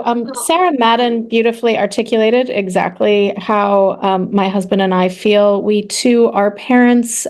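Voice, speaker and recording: A parent giving polite, hesitant public comment over a video call, full of ums. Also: female voice, mid-range voice, darkish tone.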